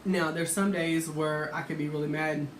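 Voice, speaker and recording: Vlogger, woman, low and soft-spoken, chatty and sassy; echoey room, noisy. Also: flat delivery, normal speed. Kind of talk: casual chat. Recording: echoey room with background noise.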